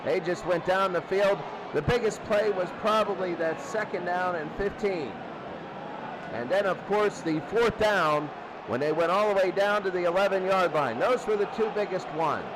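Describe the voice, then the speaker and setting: A man's voice: sits high, normal speed. A man doing live play-by-play football commentary as a radio announcer, restating the action in short bursts. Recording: very noisy, loud stadium crowd bed. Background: crowd roar.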